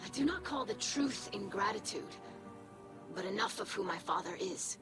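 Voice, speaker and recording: A woman's voice: mid-range voice, lively intonation, normal speed, lightly acted. A woman playing a young, proud alien scribe and daughter in a video game, speaking in a formal, precise, earnest way. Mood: proud, firm. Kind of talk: scripted video game dialogue. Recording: loud music behind the voice.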